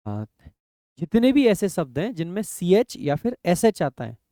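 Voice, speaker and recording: A young man with a high, mellow voice teaches grammar for competitive exams. He walks through each rule patiently, voicing his students' doubts and then settling them himself. The recording is close and dry, with no room sound. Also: no echo. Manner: explanatory, asks and answers his own questions, reads rules slowly. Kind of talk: exam-prep grammar lecture in Hindi.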